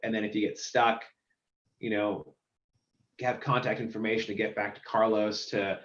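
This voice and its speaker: A man who runs a software company, explaining filing statistics in a casual video call chat. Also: fairly low pitch, level tone, moderate pace, roomy sound, dull audio, a little hiss or hum. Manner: explanatory, walks through statistics.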